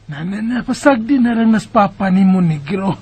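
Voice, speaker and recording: A woman's voice: medium pitch, animated, normal speed, darkish tone, breathy edge. A woman with a Bisaya accent playing a homely, chiding middle-aged mother in a radio drama. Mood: soothing, dismissive. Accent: Filipino Bisaya.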